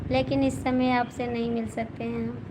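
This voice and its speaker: A hoarse woman with an Awadhi accent hosts a live stream as a folk singer. She greets viewers one by one in casual chat and teases them as she reads their comments. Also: on the high side, level tone, moderate pace. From Gonda district, Uttar Pradesh, India. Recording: clear background noise, passing vehicles.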